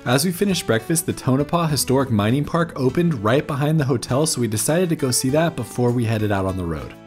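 A man in his thirties reads a scripted travel narration at a middle pitch, with faint guitar music under him. He explains and recommends plainly, like a guide talking to viewers. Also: animated, brisk, a little mellow. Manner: informative, recommending tips to viewers. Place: voice-over recorded apart from footage.

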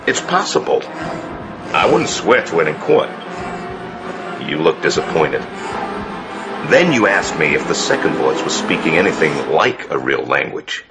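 An actor playing a blunt, wry language expert in a radio drama, with a casual way of talking. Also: male voice, very deep voice, very animated, a little slow, long pauses, over loud music, full character voice. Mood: matter-of-fact.

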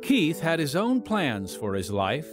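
A man hosting and narrating a scripted radio program with deliberate, dramatic phrasing. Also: on the high side, huge pitch swings. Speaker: podcast host and announcer. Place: studio voice-over. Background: music.

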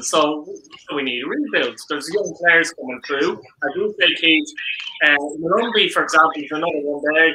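Bright-voiced Irish man in an echoey room. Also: a little high, slight background noise. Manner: argumentative, rhetorical questions. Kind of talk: casual post-match chat. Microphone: video call.

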